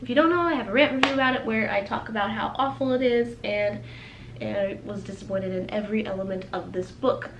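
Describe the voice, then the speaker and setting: A woman's voice: mid-range voice, fast, lo-fi sound. A young adult woman talking casually and conversationally to viewers as a book vlogger. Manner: informal, conversational. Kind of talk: casual chatty video blog. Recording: roomy echo, faint background noise.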